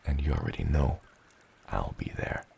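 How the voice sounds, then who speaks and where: A man voicing a gentle, doting father dragon in an ASMR roleplay, murmuring slowly and tenderly and often dropping to a whisper. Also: expressive, slight background noise, exaggerated voice. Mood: playful, teasing. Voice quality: very deep, gravelly. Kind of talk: ASMR roleplay, addressing the listener as his child.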